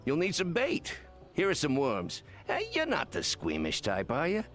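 A man's voice: a little high, wildly swooping pitch, moderate pace, fairly bright tone, faint music behind, full character voice. An actor playing an old, friendly fisherman in a video game, folksy and instructive in an avuncular way. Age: older. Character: gruff, friendly old male fisherman with cautionary tales. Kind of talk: video game character dialogue.

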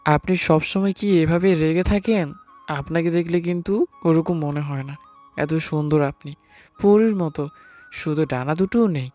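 A man's voice: on the high side, unhurried, darkish tone, lightly acted. A man playing a nervous, hesitant newlywed husband who narrates his own story in an audio romance. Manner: hesitant, sheepish. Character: timid, nervous newlywed husband, late twenties. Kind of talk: first-person story narration with acted dialogue. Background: music.

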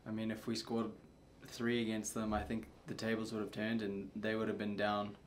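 A young man, a soccer player, answering questions at a post-match press conference in a subdued, halting way with many fillers. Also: fairly low pitch, monotone, a little hiss or hum. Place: press room before a sponsor backdrop.

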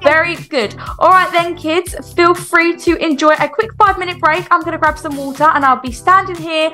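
Swim coach played by a woman: very high bright voice, firm but encouraging instructor, game roleplay. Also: steady tone, brisk, soft background music. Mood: instructing. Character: firm, encouraging female children's swimming coach. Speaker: video creator playing a swim coach.

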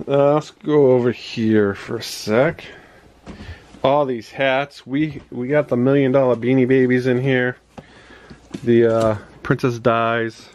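A middle-aged man giving a casual, halting show-and-tell in a small indoor room on a handheld camera. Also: medium pitch, darkish tone. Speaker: reseller showing storage unit finds. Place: small cluttered home office, indoors. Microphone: handheld phone or camera. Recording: noisy handheld home recording.

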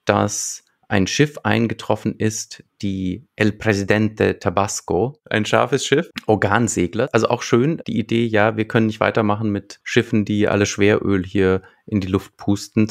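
Man in his thirties, low voice, gravelly fry, conversational podcast recap, close microphone. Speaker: podcast co-host. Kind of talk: conversational recap of a radio play. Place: small room at home. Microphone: close desktop microphone.

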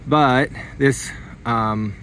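A man talks through a hands-on demonstration in a casual, unscripted way. His phrases come short and halting as he works. He is recorded outdoors on a handheld phone, with some light background noise. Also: medium pitch, steady tone, a bit bright. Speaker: hobbyist demonstrating an antenna installation. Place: outdoors beside a car in a residential driveway. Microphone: handheld phone filming the car.

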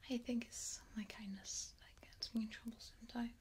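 A young woman playing a gentle, wistful, kindly goddess of the hearth in a hushed, unhurried ASMR roleplay. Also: a little high, fairly even intonation, close to an everyday voice. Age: young adult. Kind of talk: soft-spoken ASMR roleplay.

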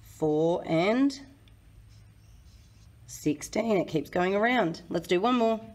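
A woman in her thirties with a croaky, hoarse voice, teaching a children's maths lesson in a friendly, encouraging way. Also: mid-range voice, animated, slow pace, darkish tone. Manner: friendly, encouraging, talks to kids. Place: small indoor room.

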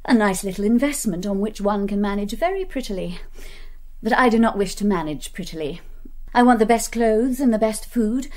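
Woman as spoiled, high-pitched English society girl. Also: a little mellow, close to an everyday voice. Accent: British English. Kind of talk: radio play acting.

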